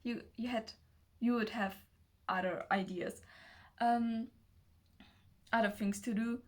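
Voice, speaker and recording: A nineteen-year-old woman from Germany with a German accent, talking casually to the camera in a nervous, hesitant, halting way as a non-native speaker. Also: a little high, fairly even intonation. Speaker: self-development video creator. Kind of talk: casual personal talk to camera.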